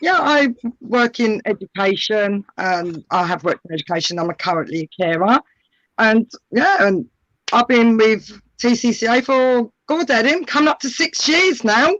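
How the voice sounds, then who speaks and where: A woman's voice: on the high side, animated, moderate pace, a bit bright, no room sound, dull audio. A middle-aged woman, a guest on a video call, chatting casually and laughing often. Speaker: guest, carer.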